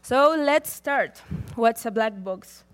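A young woman speaks from a conference stage, her voice sitting a little higher than most. She talks in a measured, deliberate way, moving steadily through prepared remarks. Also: animated, clear, resonant tone. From Chile.

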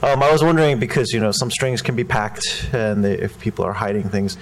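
A man in the audience asks a technical question, hesitating with many ums and uhs. His voice sits a little low, with faint background noise behind it. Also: steady tone, brisk, rough recording.

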